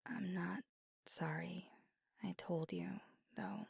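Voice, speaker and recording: A woman voicing a gravelly-whispered young vampire. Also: fairly low pitch, fairly even intonation, slow pace, no room sound, studio quality, full character voice. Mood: wistful. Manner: soft whispering, intimate. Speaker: ASMR roleplay performer. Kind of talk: ASMR roleplay. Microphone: close mic.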